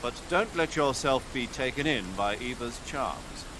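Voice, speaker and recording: Man acting a measured military adviser, noisy background. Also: mid-range voice, lively intonation, natural voice. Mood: informative. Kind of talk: video game voice acting, expository briefing.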